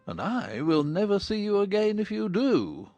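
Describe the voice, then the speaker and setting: A man acts out a middle-aged gentleman father in an audiobook drama. He is formal, measured and dryly ironic, with hugely swinging intonation in a fairly high male voice, recorded very dry. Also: big pitch swings, no echo, muffled recording, soft background music. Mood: mock-solemn.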